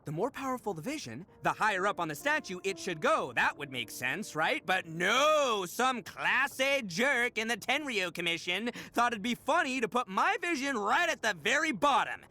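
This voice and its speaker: Young male oni gang boss, high-pitched, hugely swooping, goofy, boastful, slangy, video game character. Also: very animated, moderate pace, hardly any pauses, pushed and bright, heavily acted. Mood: indignant. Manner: boisterous, boastful, slangy, theatrical swings.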